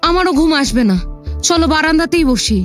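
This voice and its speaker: Horror audio drama wife: high, very animated, anxious and bossy, faint music behind. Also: female voice, brisk. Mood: worried.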